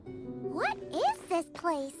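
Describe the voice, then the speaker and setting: A woman voicing a tiny excitable fairy companion in a video game, squeaky and childlike, with exclamatory delivery over game music. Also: extremely slow, heavily acted. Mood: puzzled, indignant. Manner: exclamatory, eager. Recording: game audio with music behind.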